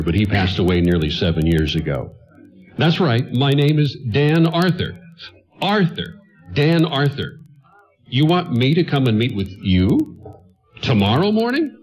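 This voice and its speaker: An actor playing a kindly, tired, flustered widowed talent agent in a radio play set in the 1940s, speaking in a hesitant, rambling way. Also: male voice, mid-range voice.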